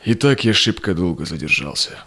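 An actor playing an honourable, earnest explorer and tender suitor in a verse audio drama, with a measured delivery. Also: male voice, deep voice, big pitch swings, sharp tone, exaggerated voice. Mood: resigned.

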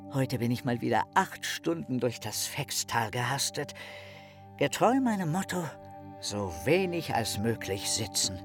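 An actor playing a cranky, self-dramatizing philosopher and hiker in a radio play, declaiming pompously and muttering asides. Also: male voice, fairly high pitch. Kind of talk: German radio play monologue.

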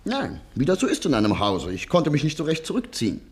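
An actor in a German radio play, playing an obsequious, shifty informer with a clipped, deferential manner. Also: male voice, a little high, wildly swooping pitch, fast. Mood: ingratiating. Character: obsequious one-eyed male informer, eager and shifty.

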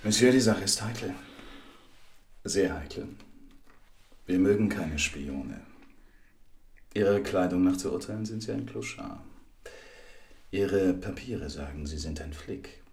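An actor playing a militant captor in a German radio crime drama, menacing and mock-courteous. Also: male voice, low voice, lively intonation, measured pace, gravelly, long pauses, a little mellow, heavily acted. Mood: polite menace. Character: menacingly polite male militant captor, coolly threatening.

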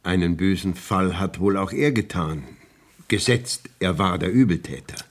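An actor playing a courteous, probing court inspector in a radio play, speaking measured, formal verse with a husky voice. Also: male voice, low voice. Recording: 1970s radio drama studio recording.

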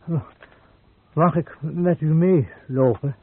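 An actor playing a lonely, badly burned crash patient who stammers in short, repeated fragments in a radio drama. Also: male voice, fairly high pitch, takes it slowly, slightly rough, full character voice. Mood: hesitant. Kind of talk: Dutch radio drama acting. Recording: thin phone-like band, muffled radio recording.

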